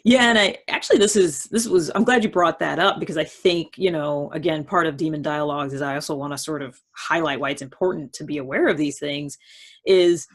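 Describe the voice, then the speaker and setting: A woman with a husky voice speaking as the host of a conversational one-on-one discussion. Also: low-pitched, fairly quick. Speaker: host of a personality-type dialogue series. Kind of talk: conversational interview.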